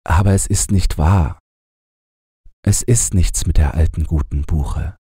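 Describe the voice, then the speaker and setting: An earnest, heartfelt husband from a fairy tale, read by a male storyteller, with a sorrowful weight to him. The voice is low and very husky, measured in its delivery. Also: mellow, dry recording, studio quality, full character voice.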